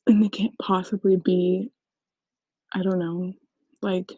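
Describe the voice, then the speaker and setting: A caller leaving a hushed, near-whispered casual voicemail with a flat delivery. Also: medium pitch, takes it slowly, no room sound, lo-fi sound.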